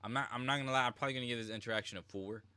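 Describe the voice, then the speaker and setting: A man's voice: medium pitch, very fast, bright, forceful tone, smooth, no room sound. A man giving casual live reaction commentary as a game streamer, slangy and informal.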